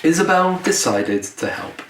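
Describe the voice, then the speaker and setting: Male storyteller for a picture-book read-aloud: unhurried, lively intonation, deliberate pauses, recorded in a roomy space. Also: fairly high pitch. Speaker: children's author reading own book aloud. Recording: roomy echo, distant mic, faint noise.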